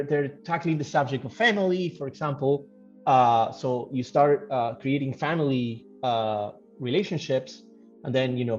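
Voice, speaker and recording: A man who works as a game developer gives casual interview answers, thinking aloud haltingly and repeating phrases. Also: on the high side, darkish tone. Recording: dull lo-fi audio, music behind. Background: background music.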